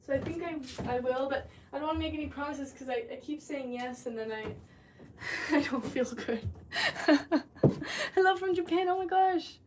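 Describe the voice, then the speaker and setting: A woman's voice: high voice, moderate pace, background noise. A young woman from Southern California chatting casually and giggling as she reads viewer comments while she works clay. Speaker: livestreamer. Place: home pottery studio. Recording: distant mic with music playing behind.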